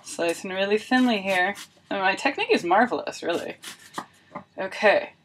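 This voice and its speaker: A woman's voice: medium pitch, expressive, normal speed, a little hiss or hum. A woman video blogger talking casually and thinking aloud, with wry, self-deprecating humour. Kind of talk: casual chatty vlog narration of a homemade experiment.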